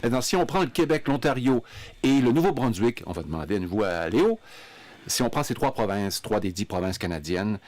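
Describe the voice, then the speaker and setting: A man's voice: mid-range voice, sing-song, a little fast, a little mellow, no echo. A man hosting a radio panel in the studio, leading the talk with figures and questions and teasing his guests. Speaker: radio show host.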